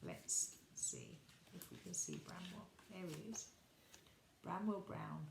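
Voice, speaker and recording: A woman reads a children's story in an expressive, animated way. She takes her time and speaks to her young listeners. The room is echoey, with some background noise. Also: on the low side, slow, vocal fry. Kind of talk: expressive picture-book reading.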